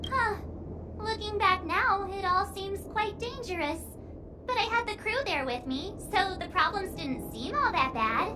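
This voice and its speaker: A woman voicing a bubbly, excitable, friendly teenage girl in a video game cutscene, chatty and expressive. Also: extremely high pitch, unhurried, background noise. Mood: chatty, reminiscing. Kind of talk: animated game cutscene dialogue.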